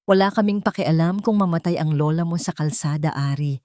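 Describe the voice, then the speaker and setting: A woman's voice: deep voice, fairly quick, warm tone, dry recording, studio quality, close to an everyday voice. Woman acting a callous, threatening debt collector. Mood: menacing. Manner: harsh, merciless.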